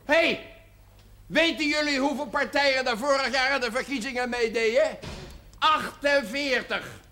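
A man's voice: very high-pitched, normal speed, sharp tone. An actor in a television period drama playing an irreverent middle-aged working man, coarse and mocking. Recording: noisy old television soundtrack.

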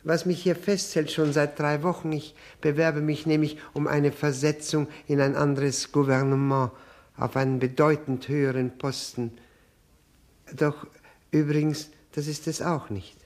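Obsequious, halting widower, higher-pitched voice. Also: male voice, level tone, a little mellow. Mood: evasive, halting. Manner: halting, apologetic, overly polite. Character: obsequious, self-abasing, evasive widower in mourning. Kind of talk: 1960s German radio drama acting.

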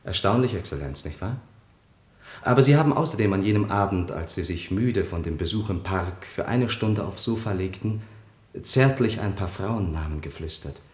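An actor playing a truth-telling servant and diarist in a German radio play, formal and deliberate with quiet irony. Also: male voice, deep voice, animated. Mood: insistent, ironic. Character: politely insolent male servant, the ruler's truth-telling diarist. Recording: roomy, echoing studio sound.

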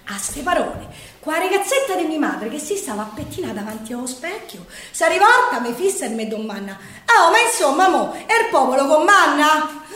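A woman's voice: very high voice, sharp tone, heavily acted. Actress as a weary Roman working-class woman, roomy sound. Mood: wry, reflective, then animated, wistful. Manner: earthy, colloquial storytelling. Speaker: stage actress. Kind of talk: theatrical dialect monologue in verse. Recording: roomy, distant stage recording.

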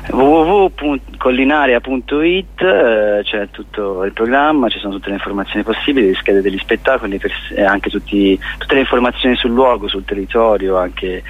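Male interview guest, lowish husky voice, informal, repeats himself while explaining, muffled radio-link sound. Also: normal speed, few pauses. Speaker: festival organizer, interview guest. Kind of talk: casual spoken interview.

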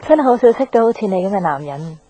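Radio drama role: forward, probing young Hong Kong Cantonese colleague, brisk delivery, husky tone. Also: female voice, medium pitch, big pitch swings, warm tone, lo-fi sound. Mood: teasing, probing.

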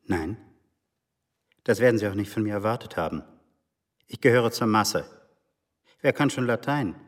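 An actor plays a portly, stolid male informer in a radio drama. His voice sits low, and he answers tersely, with sullen self-defence. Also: expressive, moderate pace, lightly acted. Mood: sullen, defiant. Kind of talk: German radio drama acting.

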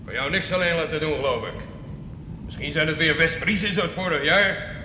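An actor playing a domineering, suspicious medieval castle lord in a radio drama, curt and contemptuous in manner. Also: male voice, a little high, brisk, natural voice. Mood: impatient, commanding. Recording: echoey, noisy old radio play recording.

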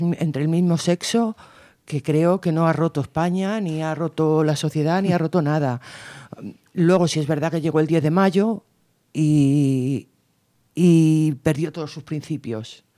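A woman from Guadalajara, Spain, speaking as a political campaigner on a radio panel, earnest and emphatic, slowing down to stress her points. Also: low-pitched, steady tone, dark tone.

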